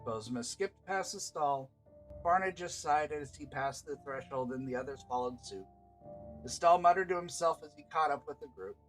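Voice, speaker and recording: A man's voice: a little high, lively intonation, moderate pace, soft background music, poor audio. A man reading scripted fantasy narration aloud as a game master and storyteller.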